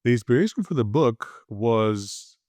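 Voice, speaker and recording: A man from Greece, a podcast guest, giving relaxed conversational answers with frequent fillers and restarts. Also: medium pitch, measured pace, no room sound. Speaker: podcast guest, agency founder.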